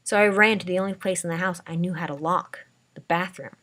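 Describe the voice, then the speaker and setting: Low-pitched woman narrating a dramatic story. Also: a little fast, a bit bright.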